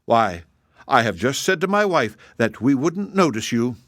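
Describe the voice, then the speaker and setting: A man voicing a shrewd, proud little male hedgehog who speaks calmly and slyly. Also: medium pitch, measured pace, dry recording, studio quality. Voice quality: calm, sly, plain-spoken.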